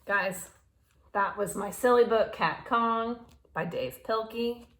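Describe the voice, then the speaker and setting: A woman reading a picture book aloud to children in a playful, expressive way, with asides to her young listeners. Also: fairly high pitch, slow.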